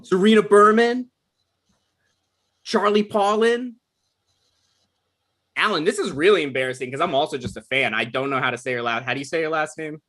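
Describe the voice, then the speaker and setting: A man's voice: a little high, moderate pace, pauses often, fairly bright tone. A man casually introducing a cast as the writer hosting a table read, rambling, self-deprecating and apologetic.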